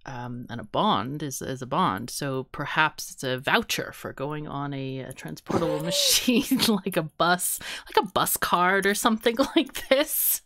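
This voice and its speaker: A woman's voice: sits low, big pitch swings, unhurried, hardly any pauses, a bit bright. A woman co-hosting a podcast in casual, playful chat, laughing often and guessing aloud. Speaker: podcast co-host.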